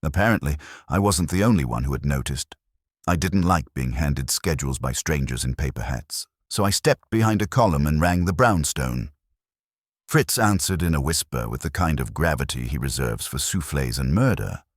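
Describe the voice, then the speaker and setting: A man's voice: a bass voice, expressive, moderate pace, breathy edge, no room sound, studio quality. A man reading first-person detective fiction as a scripted audiobook narration.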